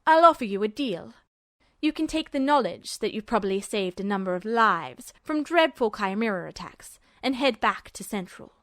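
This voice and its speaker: A woman playing a calm, polite, calculating male army officer with quiet menace in a story reading. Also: mid-range voice, normal speed, no echo, clean studio sound, voice pushed down, lightly acted. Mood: cool menace.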